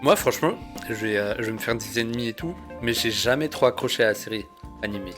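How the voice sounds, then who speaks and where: Jeune homme français, voix de hauteur moyenne. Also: male voice, mid-range voice, quick pace, over music. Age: young adult. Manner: informal, slangy. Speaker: guest co-commentator. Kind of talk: casual chat.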